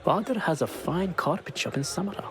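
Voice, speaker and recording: A man's voice: high voice, lively intonation, brisk, a crowd in the background. A man playing a chatty, obliging townsman in a video game. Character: chatty, obliging townsman, carpet seller's son.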